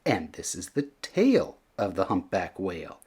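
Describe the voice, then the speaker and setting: A man narrates a children's rhyming picture book. His delivery is dramatic and unhurried, with pitch that moves around in a lively way from phrase to phrase. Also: husky. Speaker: storyteller reading a children's picture book aloud.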